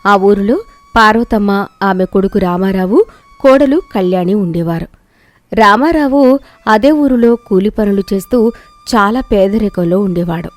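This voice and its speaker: A woman's voice: medium pitch, a little slow, no echo. A woman storyteller delivering scripted narration of a village moral tale. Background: music.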